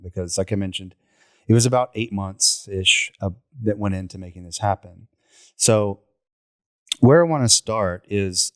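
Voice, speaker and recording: A man hosting a relaxed round-table chat, loose and easygoing, laughing off his own slips. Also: fairly low pitch, no echo, studio quality. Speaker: host.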